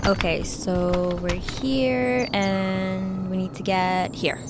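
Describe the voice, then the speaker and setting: A woman's voice: medium pitch, fairly even intonation, slow pace, background noise. A young woman playing a stubborn, nature-loving hike leader in an audio drama, casual, wry and insistent. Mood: easygoing.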